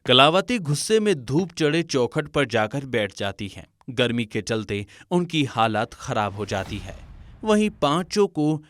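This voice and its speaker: A man reading scripted narration for an animated family story as its storyteller. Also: mid-range voice, measured pace, no echo, clean studio sound.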